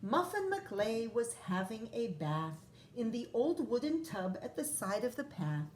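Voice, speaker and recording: A woman's voice: on the high side, big pitch swings, measured pace, darkish tone, slight background noise. A woman first-grade teacher reading a picture book aloud to young children, expressively drawing out lines and adding chatty asides.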